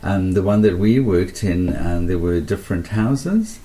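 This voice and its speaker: A man with a South African accent, speaking as a radio interview guest in an earnest, halting way with many pauses and ums. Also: sits low, animated, mellow.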